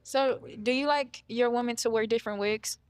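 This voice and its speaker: Contestant: woman, 30s, relaxed and confident, fairly high pitch. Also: normal speed, clean tone, no room sound, clean studio sound. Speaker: dating show contestant. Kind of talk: casual conversation.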